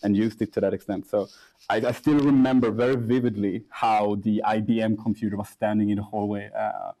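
Young guy talking about his work, lowish voice, keeps restarting his sentences. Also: steady tone, warm tone, low-quality recording. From Sweden. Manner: halting, many restarts and fillers. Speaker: startup CEO, interviewee. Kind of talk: casual interview answers.

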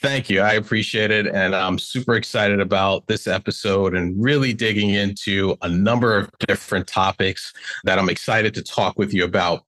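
A man's voice: lowish voice, steady tone, normal speed, a bit bright, poor audio. A man co-hosting a podcast, welcoming and questioning his guest with friendly enthusiasm. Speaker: podcast co-host.

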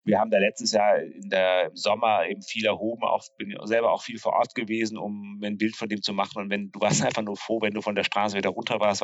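A man explaining street and cycle route planning in a relaxed conversational way, pausing often with fillers and restarting sentences. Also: on the low side, no room sound, highs cut off. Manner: hesitant, many fillers and restarts. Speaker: cycling infrastructure planner.

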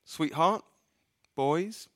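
A man voicing a demon who imitates a mother, speaking softly and coaxingly. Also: fairly high pitch, drawn-out words, pitched above the performer's own voice. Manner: soft, coaxing, pleading. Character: demon faking the boys' mother, sweet and luring.

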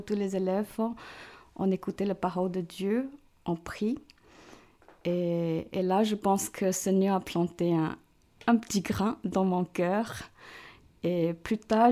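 A middle-aged woman tells her story slowly and unhurriedly. Her voice is mellow and stays level in pitch, and she pauses often to find her words. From Hong Kong, China. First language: Chinese. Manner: hesitant, searching for words with many fillers. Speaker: parish council president. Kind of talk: radio interview, personal testimony.

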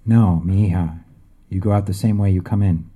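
A man reading the lines of an older priest who pleads gently. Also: low-pitched, fairly even intonation, fairly quick, phone-quality sound. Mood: pleading.

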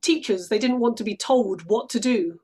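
A woman's voice: a little high, moderate pace, a little mellow, husky. A woman from London, speaking as an interview guest and explaining things conversationally. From London, England. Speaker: interview guest, stage actress. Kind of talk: casual explanatory interview talk.

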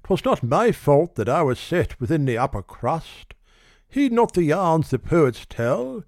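A man's voice: fairly high pitch, sing-song, unhurried, darkish tone, dry recording, studio quality, voice pushed up. A man voicing an ardent young shearer posing as a lord, wooing in a declamatory manner in a recited ballad.

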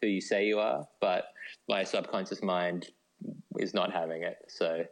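A man's voice: low-pitched, fairly even intonation, unhurried, creaky, low-quality recording. A man talks casually as an interview guest. He feels his way through his sentences, rambling a little and playing himself down. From Australia. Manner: hesitant, rambling, self-deprecating. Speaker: guest, novelist. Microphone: phone call.

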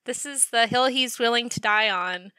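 A woman's voice: a little high, moderate pace, bright, forceful tone, clear, resonant tone, no room sound. A woman co-hosting a casual discussion, sardonic and emphatic, who swears freely. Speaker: podcast co-host.

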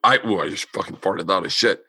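A man's voice: deep voice, a little fast, sharp tone, husky, no echo, clean studio sound. A man hosting a podcast, telling stories in a loose, profane way and acting out what people said. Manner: loose, profane storytelling with quoted voices. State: losing his voice. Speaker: podcast host, comedian. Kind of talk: casual chat.

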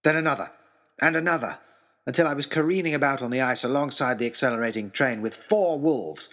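Animated male adventure storyteller. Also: medium pitch. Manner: expressive, theatrical. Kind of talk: dramatic first-person adventure narration.

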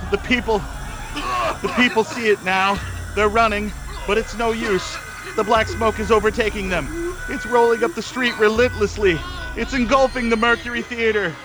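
A man's voice: high-pitched, normal speed, over music, close to an everyday voice, rough recording. A man playing a radio correspondent in a theatrical 1930s radio drama spoof. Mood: panicked, doomed. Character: male 1930s radio reporters, frantic eyewitness or grumpy indifferent correspondent.

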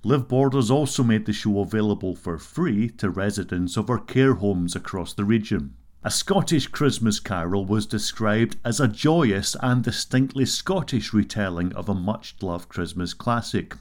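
A man presenting in scripted narration with an even delivery. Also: fairly low pitch, moderate pace.

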